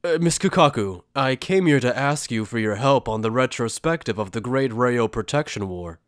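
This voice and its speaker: A man's voice: mid-range voice, animated, normal speed, no room sound, clean studio sound, lightly acted. A man playing a polite, earnest, easily flustered young male journalist warrior in an anime fan drama. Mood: awkward, polite. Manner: hesitant, polite.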